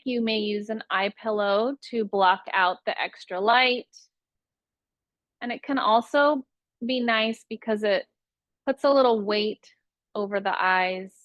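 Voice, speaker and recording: Woman, medium pitch, meditation teacher, soothing guided relaxation, unhurried phrasing, long pauses, dry sound. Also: no room sound, lo-fi sound. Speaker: yoga nidra meditation teacher.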